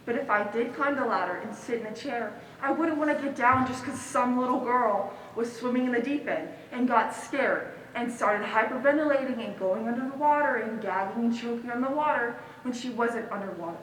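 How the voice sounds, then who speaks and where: A woman's voice: on the high side, fairly quick, hardly any pauses, a bit bright, close to an everyday voice. A woman on stage playing a blunt, unsympathetic young character delivering a complaining monologue. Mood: indignant. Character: blunt, unsympathetic young character, self-declared bad lifeguard. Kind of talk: stage monologue in a one-act play. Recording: echoey hall from far off, noise.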